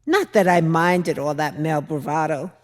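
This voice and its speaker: A woman playing a fretful, opinionated widowed mother-in-law from biblical times in a confiding, deliberate dramatic monologue. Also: deep voice, close to an everyday voice. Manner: confiding, storytelling, deliberate with rhetorical pauses. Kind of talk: dramatic monologue reading in church. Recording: echoey hall with long reverb.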